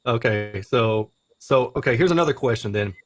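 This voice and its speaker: A man in his forties hosts a technical interview in a casual way. His pitch is average for a man. He talks informally, framing questions with conversational asides. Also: animated, moderate pace, slight background noise. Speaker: host, interviewer.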